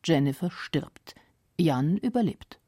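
A woman narrating a radio feature in a breathy, deliberate way. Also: deep voice, animated, mellow, no room sound, studio quality. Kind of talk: scripted narration.

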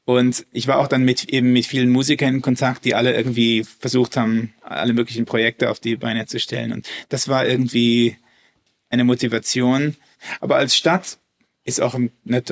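A man in his thirties speaks as an interview guest with a gravelly, creaky edge to an otherwise middle-pitched voice. He talks in a loose, hesitant way, restarting sentences and reaching for words as he thinks aloud. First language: German. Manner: hesitant, many restarts and fillers. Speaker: guest violinist. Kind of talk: casual interview talk.

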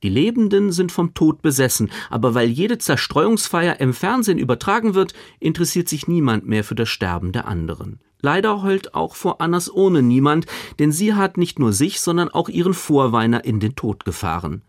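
A man's voice: fairly high pitch, big pitch swings, moderate pace, hardly any pauses, no echo, clean studio sound. A man reading a scripted radio book review as a literary critic, articulate and wry.

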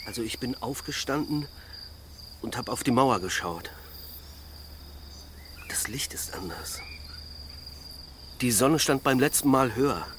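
A middle-aged husband on holiday in a radio play. He is curious and uneasy, speaking in a mid-pitched voice with hesitant, searching phrases over faint outdoor background noise. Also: expressive, measured pace, pauses often, a bit bright. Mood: puzzled. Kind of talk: naturalistic radio drama dialogue.

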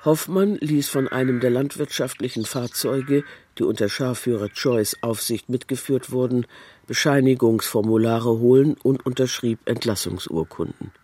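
A man's voice: medium pitch, moderate pace, darkish tone, no echo. A man reading a scripted literary documentary narration.

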